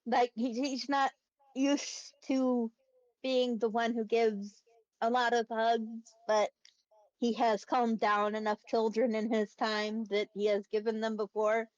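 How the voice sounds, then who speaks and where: Slow-paced woman narrating tabletop roleplay. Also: a little high, level tone, dry recording, dull audio. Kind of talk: casual improvised narration of character actions.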